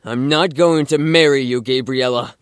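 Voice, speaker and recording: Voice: mid-range voice, moderate pace, clean tone, no room sound, clean studio sound, close to an everyday voice. An actor playing an earnest, kind and protective young man in an audio drama, speaking with emphasis. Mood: wary, indignant.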